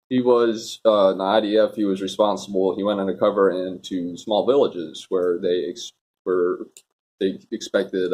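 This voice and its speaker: A man delivers off-the-cuff political commentary in a low, husky voice. He rambles casually and is strongly opinionated.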